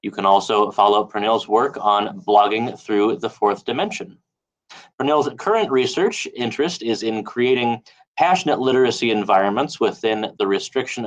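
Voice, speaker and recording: A man's voice: fairly low pitch, low-quality recording. A man reading a formal introduction of a guest as the host of a live chat show. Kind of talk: read introduction.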